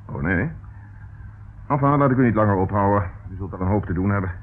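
An actor playing a polite, probing young police inspector in a radio drama, speaking in a courteous, measured way. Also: male voice, lowish voice, animated, husky. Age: young adult. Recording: narrow tinny old radio sound, faint hiss.

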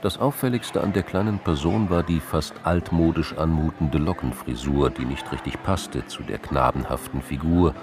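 A man with a gravelly voice narrating a scripted crime drama in a studio. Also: rumbling low, moderate pace, few pauses. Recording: music behind.

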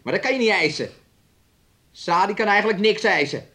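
A domineering young man in a radio drama. His voice sits a little high, and he talks in a taunting, moody, resentful way, as if always needling his partner. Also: lively intonation, fairly quick, sharp tone. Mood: angry. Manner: speaks of himself and her in third person, taunting. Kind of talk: radio play acting.

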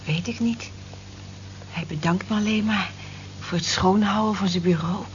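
A woman's voice: mid-range voice, moderate pace, close to an everyday voice. An actress playing a kind, long-suffering cleaning woman in a Dutch radio drama. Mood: reticent, serious. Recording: muffled, lightly noisy old radio recording.

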